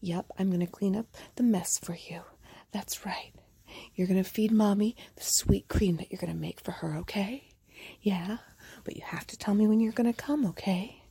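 A woman whispering softly in a slow, doting and coaxing intimate roleplay. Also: mid-range voice, no echo. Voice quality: soft breathy whisper. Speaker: roleplay performer.

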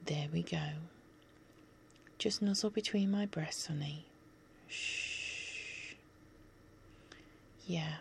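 Female ASMR whisperer, low fry-edged whisper, unhurried, coaxing yet firmly in control. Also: a little hiss or hum. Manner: soothing, coaxing, commanding.

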